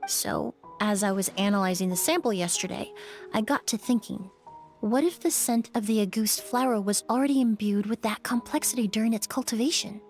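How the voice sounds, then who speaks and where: A composed young woman perfumer from a video game, played by an actress, explains things calmly and precisely. Her voice sits at an average pitch, polite and analytical, over faint background music. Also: brisk, natural voice. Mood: composed, explanatory.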